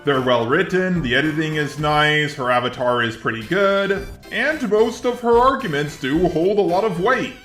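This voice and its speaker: Male commentator, higher register, casual and sardonic, very roomy sound. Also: animated, normal speed, fairly bright tone. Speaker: YouTube commentator. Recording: roomy echo, music behind.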